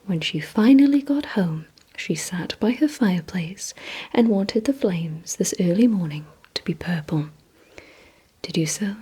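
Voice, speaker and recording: A woman whispering an ASMR roleplay as a composed, commanding vampire, giving slow, deliberate, coaxing instructions in a breathy voice. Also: medium pitch, mellow. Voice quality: breathy, mostly unvoiced whisper. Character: composed, commanding female vampire quizzing her listener. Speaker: ASMR performer.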